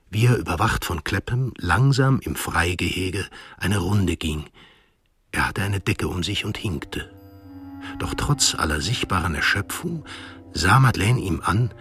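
A man's voice: deep voice, fairly bright tone. A man narrating a radio drama from a script.

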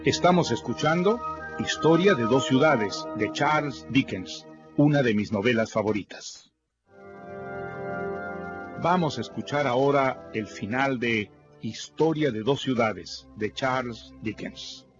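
A man's voice: medium pitch, measured pace. Male radio presenter, muffled, over music. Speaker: program presenter. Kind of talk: scripted radio program announcement. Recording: dull radio recording with loud music.

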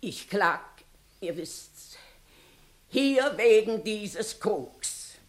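An actress playing a long-winded, indignant village plaintiff in a classical German comedy radio play, declaiming emphatically and plaintively. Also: female voice, medium pitch, animated, extremely slow. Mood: aggrieved.

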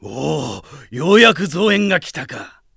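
Gravelly, blustering old general. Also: male voice, a little high, big pitch swings, unhurried, bright, forceful tone, no room sound, clean studio sound, heavily acted. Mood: eager. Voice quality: gravelly, husky. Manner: old man's speech, agitated. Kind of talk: radio drama acting.

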